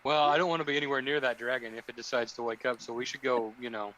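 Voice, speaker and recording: A man's voice: mid-range voice, steady tone, quick pace, pushed and bright, a little hiss or hum, poor audio. A man playing a tabletop roleplaying game, chatting casually and bluntly about his character's actions.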